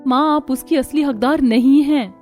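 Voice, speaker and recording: A woman's voice: sits high, normal speed, darkish tone, soft background music, voice pushed up, natural voice. A woman voicing an assertive, accusing, determined daughter in a cartoon story. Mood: firm, accusing. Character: firm, determined daughter confronting parents. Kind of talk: Hindi cartoon story acting.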